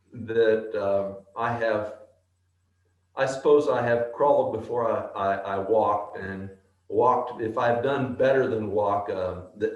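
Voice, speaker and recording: A male actor with a deep bass voice, answering interview questions slowly and thoughtfully, with many pauses and restarts. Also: darkish tone, roomy sound, dull audio. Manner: slow, thoughtful, halting.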